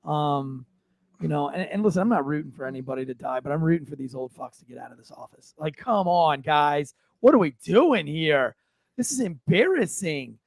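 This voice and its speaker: A man's voice: fairly high pitch, lively intonation, normal speed, creaky, dry recording. An American man co-hosting a podcast with casual, blunt, opinionated rants, repeating phrases for emphasis. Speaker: podcast co-host. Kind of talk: casual, profane opinionated banter.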